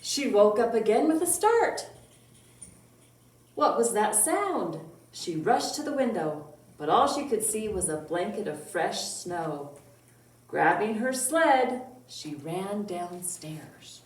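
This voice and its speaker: A woman reading a picture book aloud to young children as a storytime librarian, with drawn-out words and dramatic emphasis. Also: mid-range voice, sing-song. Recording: roomy echo, distant mic, faint noise.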